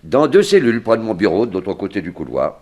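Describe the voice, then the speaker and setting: A man's voice: on the low side, very animated, quick pace, darkish tone. A man playing a genial, resigned Southern sheriff in a 1960s French radio drama, affable and courteous.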